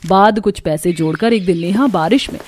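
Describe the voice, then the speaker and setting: A woman's voice: mid-range voice, normal speed, darkish tone. A woman reading scripted storytelling narration for a cartoon.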